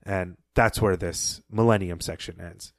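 A lower-pitched man retells a story's plot on a podcast with a measured, thoughtful delivery. His voice sits close to the mic with no room echo, dry and intimate. He breaks into soft laughs now and then. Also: fairly even intonation. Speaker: podcast co-host. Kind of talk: casual book discussion and plot recap.

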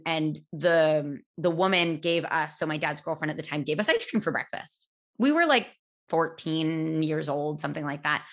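A woman in her thirties speaks in a low, loud voice as she hosts her own podcast. She tells a personal story candidly and casually. She pauses on fillers and restarts her sentences as she goes. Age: 30s. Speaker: podcast host.

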